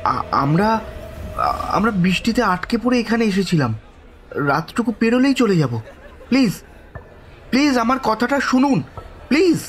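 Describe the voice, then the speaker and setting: A man's voice: a little high, slight background noise. Bewildered man narrating ghostly horror. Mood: desperate, pleading. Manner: halting, breathy repetitions, suspenseful, pleading in dialogue. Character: bewildered, frightened male narrator of village ghost story. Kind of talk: dramatic first-person horror audio story narration.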